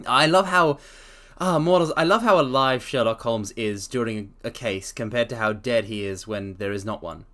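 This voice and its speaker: A man giving a dramatic storybook reading, theatrical and playful, with excited chatty asides. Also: medium pitch, moderate pace. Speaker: streamer reading aloud.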